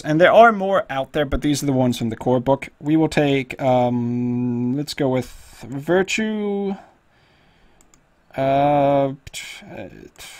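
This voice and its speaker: Chatty man thinking aloud, slightly hoarse. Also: mid-range voice. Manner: rambling, thinking aloud with many fillers. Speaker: tutorial host. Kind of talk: casual screen-recorded game tutorial.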